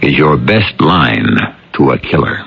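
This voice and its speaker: A man hosting a mystery radio series, narrating theatrically with rhetorical questions and measured, dramatic emphasis. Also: extremely low pitch, expressive, vocal fry, slight background noise. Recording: dull radio broadcast recording.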